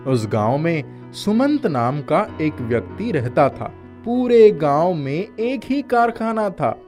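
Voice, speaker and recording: Slow, very animated male storyteller. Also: on the high side, soft background music. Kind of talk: scripted narration of a moral story.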